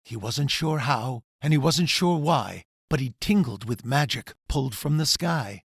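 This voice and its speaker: A man narrates a rhyming picture book as its author, dramatically and unhurriedly. His voice swings widely and has a husky grain, recorded close and dry. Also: mid-range voice, a little slow, no echo. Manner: dramatic, expressive storytelling. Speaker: author reading own children's book.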